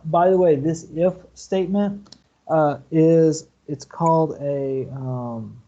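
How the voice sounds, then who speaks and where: A man's voice: on the high side, slow, warm tone, lo-fi sound. A male university lecturer teaching programming online over shared slides, explaining step by step and often checking in with "okay?" and "right?". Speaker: university computer science lecturer.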